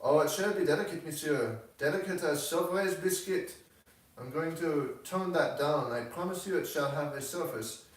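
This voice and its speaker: A man voicing a young Frenchwoman painter from a novel, coquettish, flattering and shrewd, with effusive, formal phrasing. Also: fairly high pitch, normal speed, heavy reverb, far from the mic, voice pushed up, lightly acted, low-quality recording. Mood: eager, flattering.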